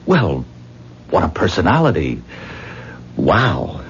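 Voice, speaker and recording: Deep-voiced, star-struck, self-doubting man. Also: huge pitch swings, takes it slowly, heavily acted. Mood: self-effacing. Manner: hesitant, self-deprecating, earnest. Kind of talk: 1970s radio drama acting. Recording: muffled, noisy 1970s radio broadcast.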